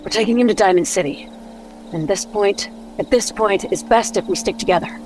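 A woman's voice: mid-range voice, brisk, background noise, natural voice. Woman as a firm, protective mother. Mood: weary resolve. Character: protective, resolute mother and leader. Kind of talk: audio drama acting.

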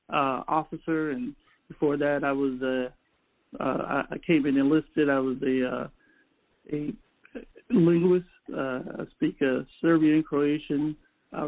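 A man in his forties, a retired army officer, speaking as a guest on a call-in radio show in a soft-spoken, halting way with many pauses. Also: fairly high pitch. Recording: phone-like narrow audio.

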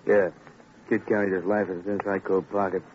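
A man's voice: low-pitched, little pitch movement, brisk, darkish tone, close to an everyday voice. An actor playing a plain-spoken, informal city policeman at a crime scene in an old radio crime drama. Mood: matter-of-fact, musing. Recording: dull, faintly noisy old radio recording.

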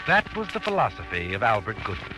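A man playing an ominous, taunting, all-knowing narrator of dark tales in a 1940s radio mystery. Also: on the low side, wildly swooping pitch, brisk, poor audio. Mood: wry, dark. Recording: old radio recording with music.

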